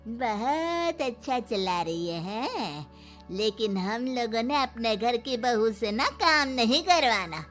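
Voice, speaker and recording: A woman acts a domineering old village grandmother in a moral story. Her voice is high and her delivery slow, as she nags and complains. Faint music runs underneath. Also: animated, fairly bright tone. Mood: bossy complaining. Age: older. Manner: nagging, bossy, complaining. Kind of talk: dramatised Hindi moral story.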